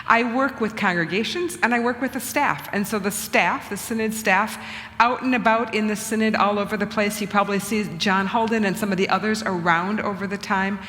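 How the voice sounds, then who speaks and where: A middle-aged woman bishop talks in an earnest, measured manner. She thinks aloud, often restarting and rephrasing her thoughts. Her voice rings with a long reverb in a church hall. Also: mid-range voice, steady tone, fairly bright tone. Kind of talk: conversational church talk. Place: church sanctuary.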